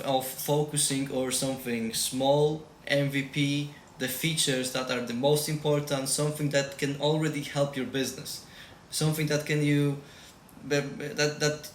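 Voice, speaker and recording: A man's voice: mid-range voice, fairly bright tone. A man from Poland with a Polish accent, a tech team lead giving casual interview answers and repeating key advice emphatically. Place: shared room. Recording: echoey room with faint noise.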